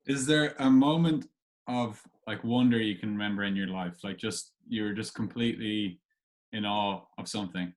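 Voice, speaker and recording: A man's voice: lowish voice, normal speed. A man hosting a podcast, interviewing casually in a hesitant, informal way. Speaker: podcast host.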